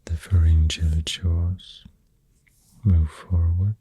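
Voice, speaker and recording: A very soft, deep ASMR voice with a Swedish accent, almost all breath and whisper. The delivery is slow and flat in melody, gently rambling with pauses, right up close to the microphone. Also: husky, no room sound, dull audio. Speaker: ASMR host. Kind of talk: soft-spoken ASMR, rambling facts while drawing. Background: pencil writing and clicking sounds.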